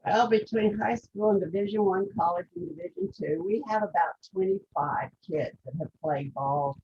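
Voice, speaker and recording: A woman's voice: mid-range voice, darkish tone, muffled recording, slight background noise. Chatty older Texan woman. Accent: Texas. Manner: chatty, enthusiastic. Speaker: co-host introducing a guest.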